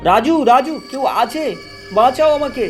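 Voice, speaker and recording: A man's voice: extremely high pitch, normal speed. A man playing a fearful new postman on his first night, in a dramatic horror cartoon style. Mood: frightened. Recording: faint music behind the voice.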